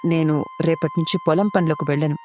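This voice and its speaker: A woman's voice: low voice, steady tone, brisk, warm tone, faint music behind, lightly acted. A woman voicing an easygoing crow husband in a cartoon story, casual and teasing. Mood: matter-of-fact. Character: easygoing male crow husband, gently bossy.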